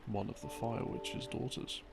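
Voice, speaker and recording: A man giving a halting, unhurried sleep-aid ramble, explaining things from memory and trailing off as he muses. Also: medium pitch, fairly even intonation, vocal fry. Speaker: audio creator playing a rambling boyfriend. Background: soft background music.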